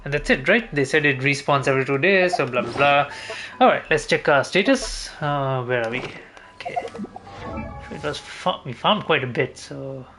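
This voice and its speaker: A man's voice: a little high, expressive, normal speed. A man streaming a game, thinking aloud in a casual, hesitant way as he talks through his route. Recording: game music behind voice. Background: video game music.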